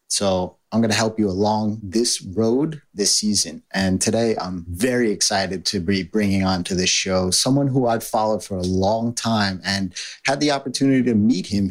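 A man with a New York accent hosting a podcast, chatty, with plenty of "you know" fillers. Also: lowish voice. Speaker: podcast host.

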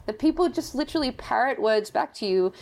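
A woman in her late thirties with a softened Australian accent, candidly describing her work as a film and game composer in an interview. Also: fairly high pitch, expressive, brisk, vocal fry. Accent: Australian, softened toward American. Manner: reflective, candid, restarts phrases. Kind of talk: interview guest.

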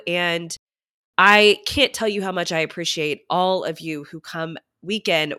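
Lady money coach doing a podcast intro, kinda stuffy from a cold, bright and excited, slightly low voice. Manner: enthusiastic, chatty, addresses listeners warmly. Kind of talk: upbeat podcast intro monologue.

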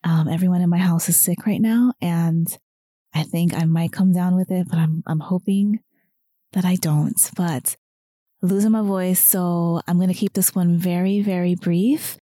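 A woman hosting her own weight loss podcast while losing her voice, talking softer than usual in an encouraging tone. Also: lowish voice, fairly even intonation, measured pace, no room sound, studio quality. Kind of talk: solo podcast talk.

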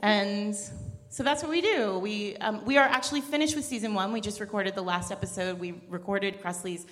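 A woman speaks as a podcast co-host on a live panel. Her talk is casual and joking, at a middling pitch, with the long echo of a big room around her. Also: normal speed. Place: large indoor event room. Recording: echoey hall, live room microphone.

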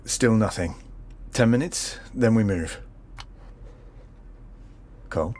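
A man's voice: lowish voice, takes it slowly, a little mellow, a little hiss or hum. An actor playing a patient, menacing male secret agent in an audio drama, with an ominous manner. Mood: controlled.

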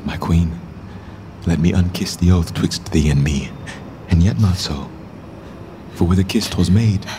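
A man's voice: low-pitched, a little slow, dark tone, slight background noise. A man acting a deposed, grieving, bitter medieval king in a Shakespearean radio drama, speaking formal, poetic verse theatrically. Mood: grieving, tender.

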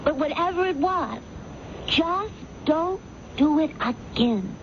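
A woman's voice: high-pitched, lively intonation, takes it slowly, dull audio, full character voice. An actress playing a curt, aloof, scolding woman in a radio mystery drama. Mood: grudging. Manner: curt, withholding. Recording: noisy band-limited old radio recording.

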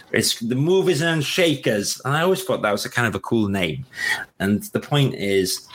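A man hosting a webinar, giving casual, energetic job-search advice and often repeating himself. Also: on the low side. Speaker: webinar host. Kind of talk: motivational talk.

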